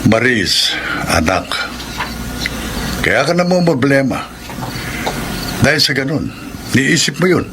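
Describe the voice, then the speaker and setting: A man playing a gentle, middle-aged father who gives measured, cautioning advice in a radio drama. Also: mid-range voice, big pitch swings, noisy background. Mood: concerned, gentle. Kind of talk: Filipino radio romance drama acting.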